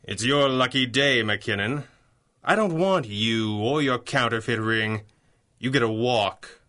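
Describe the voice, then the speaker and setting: An old-time radio masked hero, sharp clear-cut delivery, stern and drily mocking. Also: male voice, medium pitch, unhurried. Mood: wry, menacing. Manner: articulate, mocking, righteous. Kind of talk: 1930s pulp radio drama acting.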